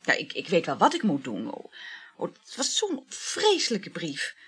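A gushing, flirtatious woman, animated and husky. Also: on the low side, moderate pace, heavily acted. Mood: chatty, pleading. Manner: chatty, effusive, sighing exclamations. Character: flirtatious, gushing, money-strapped woman juggling several lovers. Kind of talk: naturalistic radio play acting.

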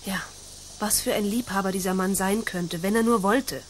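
Radio play romantic lead , female actor: young, proud noblewoman, medium pitch, dramatic breathy formality, slight background ambience. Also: normal speed, a little hiss or hum, close to an everyday voice. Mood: scornful, wistful.

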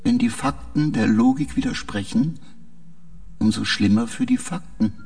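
An actor playing a troubled, literal-minded male spaceship intelligence in a radio drama, speaking in terse, halting phrases. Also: fairly low pitch, a little mellow, soft background music, close to an everyday voice. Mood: strained, halting.

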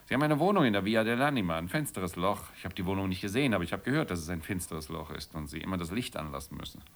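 An actor in a German radio play voicing a lonely, bitter, brooding man, gravelly and weary, answering in a terse, grudging way. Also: low-pitched, animated, racing. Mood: scornful, bitter. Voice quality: gravelly, creaky.

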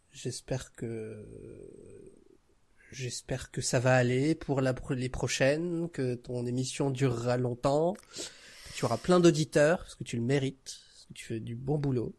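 A man's voice: mid-range voice, fairly even intonation, unhurried, darkish tone. A man talking as a podcast guest in a casual, informal, easygoing conversational style.

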